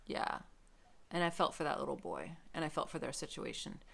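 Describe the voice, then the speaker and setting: A woman book vlogger chatting casually to camera in an expressive, emphatic way. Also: deep voice, fairly quick, creaky.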